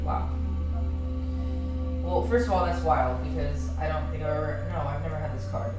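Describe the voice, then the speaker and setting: A woman with a low, deep voice reads tarot card messages aloud, solemn while reading and chatty between cards. She talks into a microphone, with faint music playing underneath. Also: moderate pace. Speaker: tarot reader.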